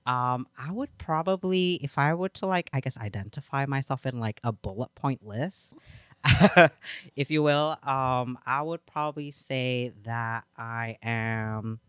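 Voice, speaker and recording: Smooth, dry-voiced guest. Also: male voice, mid-range voice, measured pace, a bit bright, no echo. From Indonesia. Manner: hesitant, many fillers and restarts. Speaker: podcast guest. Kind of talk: casual interview chat.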